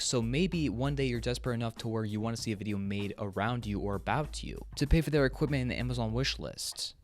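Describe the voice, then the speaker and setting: A man delivering opinionated scripted commentary as a video host, bluntly, with casual slang and swearing. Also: mid-range voice.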